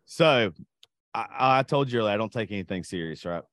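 A man's voice: on the low side, expressive, brisk, fairly bright tone, no echo, clean studio sound. A man hosting a casual podcast chat, blunt and crude, joking and swearing freely. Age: adult. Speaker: podcast host.

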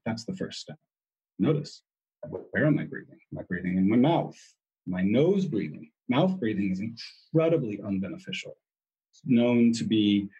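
A man's voice: medium pitch, a little mellow, slightly rough, low-quality recording. A man speaking as an interview guest, explaining in an emphatic way and often repeating his key words.

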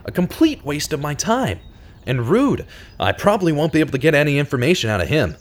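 A man playing an earnest radio host turned true-crime detective, narrating a scripted audio drama in a measured, dramatic way. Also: on the high side, sing-song. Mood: grave, determined.

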